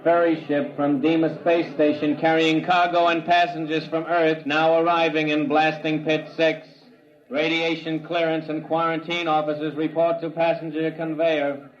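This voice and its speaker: An actor playing a spaceport loudspeaker announcer who reads departures in an official drone. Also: male voice, a little high, little pitch movement, moderate pace, natural voice. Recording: dull old radio transcription.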